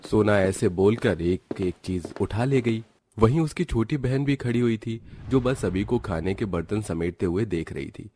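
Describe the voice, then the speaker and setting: A man's voice: fairly low pitch, lively intonation, moderate pace, mellow, slight background noise. A man reading scripted narration for a cartoon family story.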